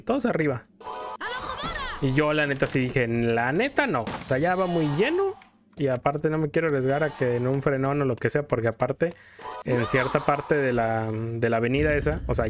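A man's voice: medium pitch, slight background noise. A man with a Mexican accent telling a story in casual, colloquial style while commenting as a gameplay host. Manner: colloquial, acts out quotes in passing.

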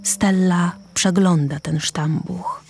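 A woman narrating a radio drama deliberately, pausing between short phrases. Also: lowish voice, fairly even intonation, darkish tone, slight background noise.

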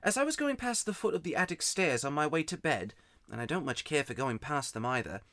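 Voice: medium pitch, expressive, fairly quick, a bit bright, dry recording, clean studio sound. A narrator voicing an aggrieved, wound-up young boy with emphatic schoolboy talk, in a children's audiobook. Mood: secretive, uneasy. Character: miserable, wound-up, grubby young boy from the country.

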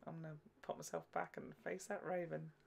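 A young man talks in a creaky voice with plenty of fry. He chats informally and agreeably as a reaction show co-host, into a desk mic in a room at home. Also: on the high side, flat delivery, brisk, crowd noise. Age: 20s or 30s. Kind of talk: casual chat. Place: small furnished room at home. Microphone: desk microphone in front.

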